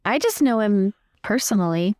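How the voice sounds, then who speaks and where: A woman's voice: medium pitch, lively intonation, normal speed, a little mellow, dry recording, clean studio sound. A woman playing a tabletop roleplaying game, improvising in a casual, chatty way. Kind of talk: casual improvised in-character chat.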